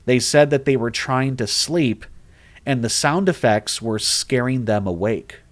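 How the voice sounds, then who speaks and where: A man's voice: mid-range voice, measured pace. Chatty man rambling on a livestream. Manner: rambling, animated, many restarts and fillers. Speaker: horror story narrator and streamer. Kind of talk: casual livestream chat reading comments.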